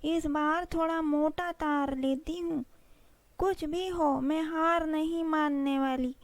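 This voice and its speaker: Woman voicing a high-pitched, determined little cartoon spider. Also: fairly even intonation, slow pace, no room sound. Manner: resolute, self-encouraging. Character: small determined female spider, stubborn and hardworking. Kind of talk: children's cartoon character voice.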